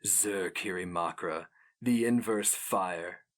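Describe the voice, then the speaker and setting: Slow, low-voiced man narrating dark fiction. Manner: grave, measured, theatrical. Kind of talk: dramatic scripted fiction narration.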